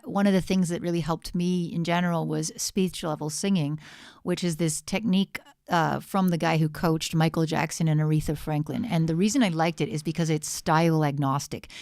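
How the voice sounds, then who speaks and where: A low-pitched woman giving candid, encouraging feedback in a casual chat. Her voice is recorded close and dry, and her wording is loose and slangy, as a music producer talking to friends. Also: darkish tone, smooth, no room sound.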